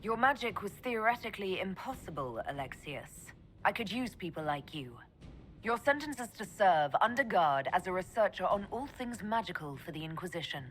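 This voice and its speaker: A woman's voice: mid-range voice, very animated, moderate pace, a bit bright, slight background noise. Dry, authoritative woman mage commander. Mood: commanding. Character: sharp, dry elven woman mage leading an army. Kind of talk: scripted video game dialogue.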